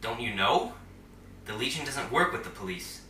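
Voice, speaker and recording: A man playing a smug, theatrical superhero group leader in an audio drama, taunting with grand, drawn-out delivery. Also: medium pitch, animated, bright, forceful tone, a little hiss or hum. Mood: grandiose, taunting. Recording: roomy, slightly distant microphone.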